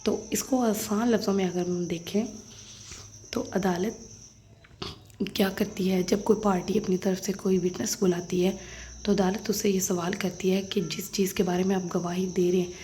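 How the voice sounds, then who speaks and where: A woman's voice: mid-range voice, fairly even intonation, normal speed, dark tone. A woman lecturer methodically reading legal text aloud and explaining it, repeating and rephrasing points for students. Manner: alternates English legal clauses with simple Hindi-Urdu explanation. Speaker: law lecturer. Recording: noticeable background noise.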